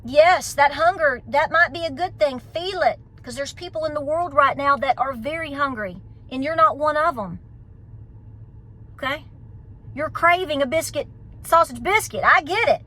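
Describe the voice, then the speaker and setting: A woman's voice: high-pitched, moderate pace, bright, forceful tone. A middle-aged woman with a Southern Appalachian accent, giving an emphatic, folksy pep talk in a casual vlog style. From United States. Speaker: homesteading vlogger.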